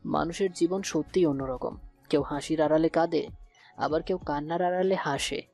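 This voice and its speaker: A woman's voice: deep voice, normal speed, dark tone, no room sound. A woman narrating a scripted romantic audio story. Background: faint background music.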